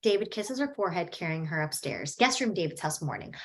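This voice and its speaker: A woman's voice: lowish voice, lively intonation, fairly quick. Woman plainly reading stage directions. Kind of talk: table read narration.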